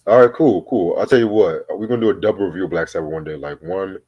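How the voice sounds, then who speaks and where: This man has a very low, deep voice. He talks like the host of a loose music review among friends, informal and slangy. Swearing comes freely as part of how he speaks. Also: fast talker, husky, dull audio. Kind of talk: casual profane chat.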